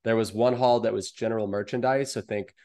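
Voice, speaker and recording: Lower-pitched young American man explaining. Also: steady tone, fairly quick, a little mellow. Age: young adult. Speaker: guest, online wholesale seller. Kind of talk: explanatory interview advice. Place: indoor room at home, video call.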